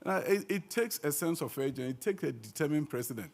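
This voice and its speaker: A man's voice: on the high side, animated, quick pace, vocal fry. Earnest male minister giving a speech. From Ghana. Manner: earnest, emphatic, with fillers. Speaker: education minister. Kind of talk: conference speech. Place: conference hall.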